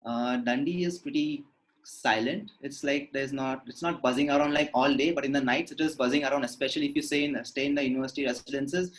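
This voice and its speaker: A man's voice: mid-range voice, fairly even intonation, fast talker, poor audio. A young man from India with an Indian accent, answering interview questions politely and casually, often saying "like". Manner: polite, says "sir", fillers like "like". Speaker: student interviewee.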